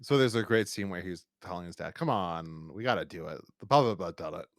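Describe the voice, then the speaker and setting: A man's voice: low voice, expressive, fairly quick, no echo, studio quality. A man hosting a podcast, talking casually in a rambling, opinionated and emphatic way as he reviews. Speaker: podcast host.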